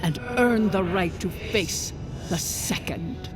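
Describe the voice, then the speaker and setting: An actor voicing a solemn ancient spirit guardian of a fallen people in a fantasy game, speaking formally and gravely. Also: mid-range voice, lightly acted. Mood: solemn, commanding. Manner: slow, grave, formal, archaic phrasing. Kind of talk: dramatic fantasy video game acting. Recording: game music under the voice.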